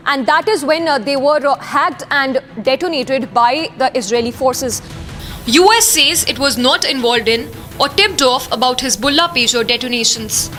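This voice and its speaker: Bright, high-pitched Indian female news anchor. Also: normal speed, faint music behind. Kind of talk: broadcast news reading.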